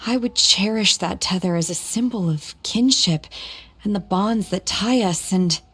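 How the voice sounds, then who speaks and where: A woman playing a solemn, reserved young exorcist in a video game, breathy, measured and literal. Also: mid-range voice, fairly bright tone. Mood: pensive.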